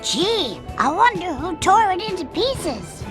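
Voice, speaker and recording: Little duckling, squeaky high voice, slow-paced, expressive, nervous and cheeky, music behind. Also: heavily acted. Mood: curious, excited. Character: plucky young cartoon ducklings, nervous and cheeky. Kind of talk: animated cartoon game acting.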